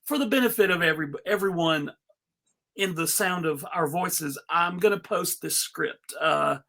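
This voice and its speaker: A man presenting an informal live script reading, halting and amused, with frequent asides. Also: high voice, creaky, sharp tone. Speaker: panel reader and presenter.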